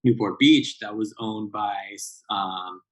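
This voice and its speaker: Low-voiced man in his thirties, rambling. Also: slow pace, poor audio. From Southern California. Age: 30s. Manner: rambling, many fillers and restarts. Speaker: barista guest. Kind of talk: casual interview chat.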